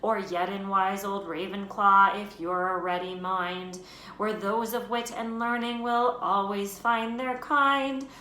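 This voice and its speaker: A woman voicing an old, patched, singing wizard's hat that chants its verses in rhyme, loud and lively. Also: fairly high pitch, pitched above the performer's own voice. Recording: roomy with faint noise.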